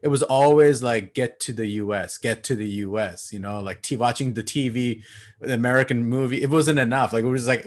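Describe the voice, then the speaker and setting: Low-ish voiced guy, late 30s, from bangladesh, easygoing podcast guest on a video call, lots of likes. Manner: relaxed, laughing, lots of fillers. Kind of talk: casual interview chat.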